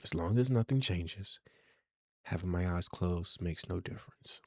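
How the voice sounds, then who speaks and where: A man with a very low voice reads horror game narration slowly and deliberately. The delivery is hushed and dramatic, recorded close and dry with no room sound. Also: dry recording. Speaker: game streamer, channel host.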